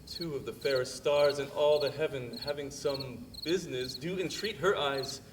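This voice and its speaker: A man's voice: medium pitch, normal speed, close to an everyday voice. A man playing a lovestruck young man in a theatrical, lingering Shakespearean stage monologue. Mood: tender awe. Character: lovestruck young man gazing at his beloved at night. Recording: distant mic outdoors, loud insect noise.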